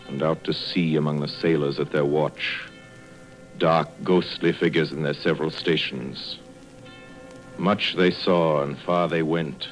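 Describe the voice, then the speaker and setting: Very deep, solemn storyteller. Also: male voice, poor audio. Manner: measured, literary, declamatory. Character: solemn storybook narrator of a Victorian ghost tale. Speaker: narrator. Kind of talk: scripted radio drama narration.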